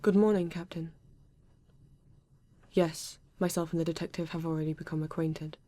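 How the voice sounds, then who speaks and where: A woman voicing a polite, formal male android detective in an ASMR roleplay, with a British accent and stiff, measured phrasing. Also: sits low, fairly even intonation, warm tone, dry recording.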